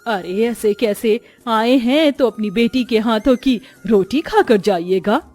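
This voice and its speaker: A woman's voice: on the high side, animated, slow pace, a little mellow. Woman playing a firm older mother-in-law, music behind. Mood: bossy. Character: older village mother-in-law, authoritative head of household.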